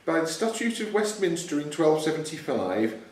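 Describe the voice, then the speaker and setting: Higher-voiced man reading quiz questions, roomy echo. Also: very animated, moderate pace. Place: club room at a live quiz tournament. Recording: roomy echoey hall, distant mic, faint noise.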